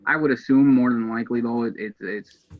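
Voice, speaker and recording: Even-toned American man, dull lo-fi sound. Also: mid-range voice, fairly quick. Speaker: police dispatcher, podcast guest. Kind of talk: casual interview talk.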